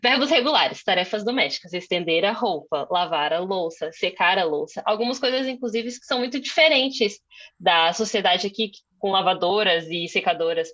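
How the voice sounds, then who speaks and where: A woman's voice: medium pitch, lively intonation, moderate pace, fairly bright tone. A young woman from Brazil moderating a conversation on a video call, thinking aloud with many fillers and restarts. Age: young adult.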